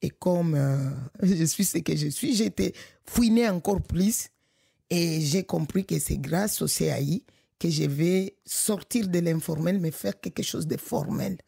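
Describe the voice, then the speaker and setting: A middle-aged woman from Congo, director of a local association, speaking in an animated, emphatic way with frequent restarts. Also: on the low side, unhurried, gravelly, breathy edge, no room sound, clean studio sound. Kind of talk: conversational podcast interview.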